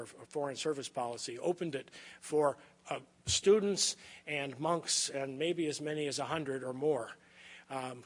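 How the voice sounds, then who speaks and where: A man hosting an event, giving an informal introduction of a speaker in a halting, hedging manner. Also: medium pitch, fairly bright tone. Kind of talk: informal spoken introduction at a lecture. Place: large indoor lecture hall. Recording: lecture hall, long reverb.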